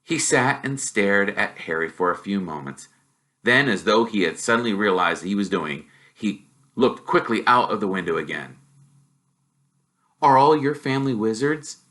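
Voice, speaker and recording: A man narrating a storybook in an expressive, animated way, as someone who performs the characters. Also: low voice, moderate pace. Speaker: reader, teacher.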